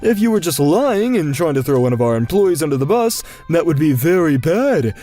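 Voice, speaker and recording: Manga dub casting: man as no-nonsense male manager, firm and measured, animated intonation. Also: a little high, soft background music. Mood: stern, pointed. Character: stern, measured male manager probing a dispute.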